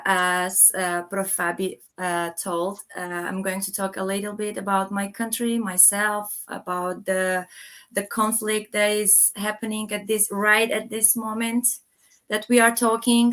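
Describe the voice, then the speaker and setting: This is a woman in her thirties who grew up in Armenia and speaks English as a second language. She presents to a video call, hesitating often and restarting her sentences. Her voice sits at a middle pitch. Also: fairly bright tone. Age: 30s. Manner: hesitant, many fillers and restarts. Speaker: guest speaker. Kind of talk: online talk presentation.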